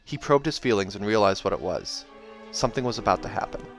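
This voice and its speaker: A man's voice: lowish voice, lively intonation, quick pace. A man reading a fantasy novel aloud as dramatic scripted narration. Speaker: audiobook reader. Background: background music.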